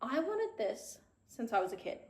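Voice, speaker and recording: A woman's voice: fairly high pitch, sing-song, normal speed, darkish tone, reverberant. A woman in her thirties with a New Zealand accent, chatting frankly and casually on her own show, swearing freely. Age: 30s. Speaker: podcast host. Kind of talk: casual solo chat.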